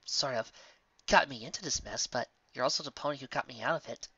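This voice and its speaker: A man's voice: mid-range voice, brisk, pushed and bright, muffled recording, natural voice. A man voicing a playful, affectionate young female unicorn musician in an exclamatory, teasing way for a storybook.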